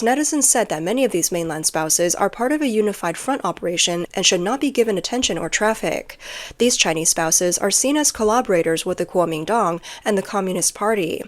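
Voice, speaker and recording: A woman's voice: medium pitch, expressive, a little fast. A woman reading scripted news narration, including translated quotes, as the narrator of a report.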